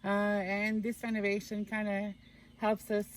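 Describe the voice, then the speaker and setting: A woman speaks earnestly as an interview guest, outdoors at a building site. Her voice sits in a middle pitch. Faint background hiss runs underneath. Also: completely flat delivery, measured pace. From Canada. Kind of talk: casual interview answers.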